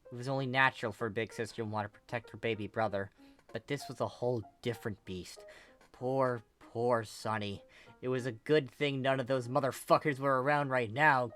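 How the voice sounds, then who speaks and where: A narrator reading fan fiction aloud in an expressive storytelling style. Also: medium pitch. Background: background music.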